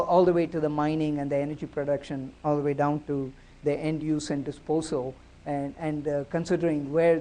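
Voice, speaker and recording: A man giving a public talk at a university, amplified through the room's sound system, speaking haltingly with many fillers and restarts. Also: fairly high pitch, dark tone. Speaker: guest speaker at a university lecture. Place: lecture room at a university. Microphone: amplified through a room speaker system.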